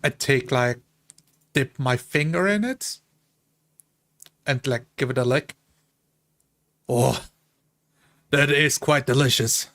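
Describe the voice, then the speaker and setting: A man's voice: medium pitch, slow, long pauses, fairly bright tone. A man playing a tabletop fantasy game, casually improvising his character's actions and lines in the first person. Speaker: tabletop roleplay player.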